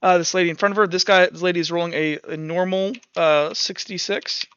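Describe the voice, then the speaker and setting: Bright, crisp, higher-voiced man running a game. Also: steady tone, fairly quick, dull audio, a little hiss or hum. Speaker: game master. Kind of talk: casual tabletop game narration and rules talk. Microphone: headset.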